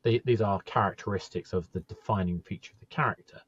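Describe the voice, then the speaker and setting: A man's voice: fairly low pitch, fast, husky, no echo. A man hosting a podcast interview, talking conversationally and probing with questions, on a slightly muffled microphone.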